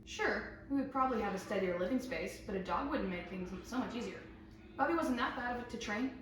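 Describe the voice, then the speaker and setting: A woman's voice: on the high side, expressive, a little fast, lightly acted. A woman playing a teasing, protective older sister in a table read of a survival drama, speaking casually. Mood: playful, insistent. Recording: echoey room, distant mic, faint noise.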